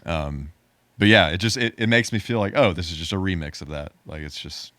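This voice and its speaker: A man's voice: deep voice, fairly quick, a bit bright, no room sound. A man co-hosting a podcast in casual conversation, thinking aloud tentatively with false starts. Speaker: podcast co-host.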